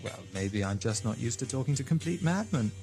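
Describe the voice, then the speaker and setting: A man's voice: medium pitch, expressive, brisk, natural voice. A man playing a wry, principled hero in an audio sci-fi drama, speaking in a dry, sardonic and challenging way. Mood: sardonic, measured. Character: wry, principled time-travelling hero facing a villain. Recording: music underneath.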